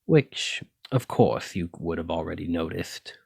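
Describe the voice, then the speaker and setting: Dragon-blooded male librarian played by a man for ASMR romance: low voice, intimate, teasing, smitten and possessive, very dry close sound. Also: measured pace, gravelly, a little mellow, breathy edge, no echo, exaggerated voice. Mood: earnest, confiding. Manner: intimate, teasing, uses pet names.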